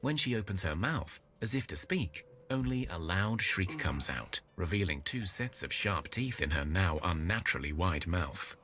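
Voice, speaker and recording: Man, deep voice, lively intonation, dramatic storytelling read, faint music behind. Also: moderate pace, few pauses, a little hiss or hum. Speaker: game narration reader. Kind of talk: reading game event text aloud.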